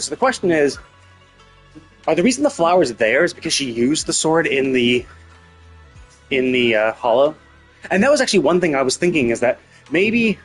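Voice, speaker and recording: A man's voice: a little high, husky. A man livestreaming a game, thinking aloud in a casual, rambling, hesitant way with some swearing. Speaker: live game streamer.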